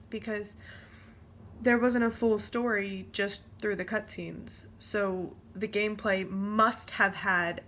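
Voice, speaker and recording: A young woman talks casually to her vlog viewers at an unhurried pace. Her pitch sits in the middle for a woman, and she is informal and hesitant, letting thoughts trail off. There is some background noise in the recording. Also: vocal fry, a bit bright. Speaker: vlogger.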